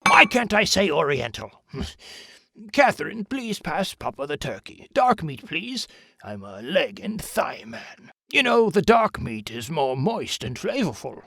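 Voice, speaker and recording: A woman doing a gravelly cranky old grandpa. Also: low-pitched, sing-song, unhurried, fairly bright tone, no room sound, studio quality, full character voice. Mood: grumpy. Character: cranky old grandpa, blunt, set in ways. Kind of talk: sketch comedy acting.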